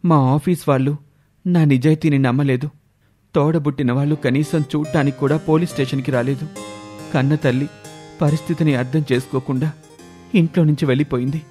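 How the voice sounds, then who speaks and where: A man voicing a gentle, sorrowful young husband in an animated story, speaking earnestly. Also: mid-range voice, close to an everyday voice. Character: gentle, dejected young husband, honest and loving. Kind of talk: Telugu animated moral story dialogue.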